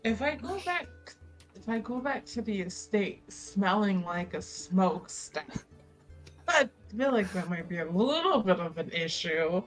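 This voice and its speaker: A woman's voice: medium pitch, sing-song, unhurried, faint music behind, poor audio. A woman playing a tabletop roleplaying game, chatting and improvising her character's moves in a casual, playful way.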